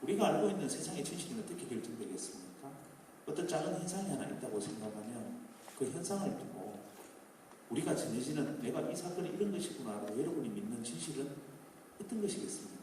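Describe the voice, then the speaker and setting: A man's voice: medium pitch, big pitch swings, quick pace, noisy background. A man lecturing to a live audience at a public talk, asking rhetorical questions and building up emphasis. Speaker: speaker at a public talk concert. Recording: echoey hall, distant mic.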